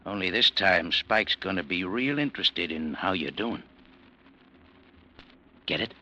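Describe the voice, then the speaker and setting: An actor playing a menacing male gangster boss who threatens quietly in a 1940s radio drama, on a muffled old recording. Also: sits low, expressive, a little slow, heavily acted.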